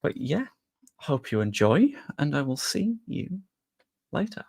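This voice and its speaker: Gravelly-voiced English streamer, easygoing chat. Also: male voice, fairly high pitch, sing-song, unhurried, a little mellow. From England. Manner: chatty and easygoing, with frequent fillers and British slang. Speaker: live streamer. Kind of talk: casual live stream chat, reading and answering viewer questions.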